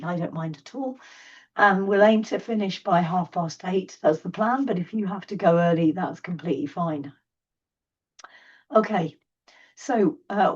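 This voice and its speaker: Hoarse woman presenting over a video call. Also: on the low side, a little mellow. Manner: chatty, apologetic, self-deprecating. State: losing her voice, hoarse. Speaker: charity presenter. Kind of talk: online presentation. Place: home desk. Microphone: computer mic on video call. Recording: distant, dull video call audio.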